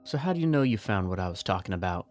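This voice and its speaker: Man acting Darren, a resigned, lower-voiced soldier. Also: big pitch swings, quick pace, darkish tone, no echo, faint music behind. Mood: calm explaining. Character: Darren, resigned trapped soldier, gently persuasive. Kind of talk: audio drama dialogue.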